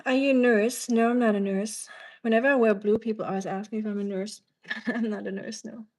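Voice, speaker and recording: Streamer: woman, German accent, native German speaker, rambling, chatty, fairly high pitched. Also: steady tone. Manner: rambling and chatty, quick asides, teasing. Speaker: livestreamer. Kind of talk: casual livestream chat answering viewers.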